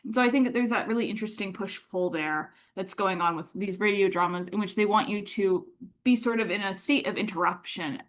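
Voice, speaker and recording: A woman giving an informal lecture, explaining her examples with enthusiasm in a conversational way. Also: mid-range voice.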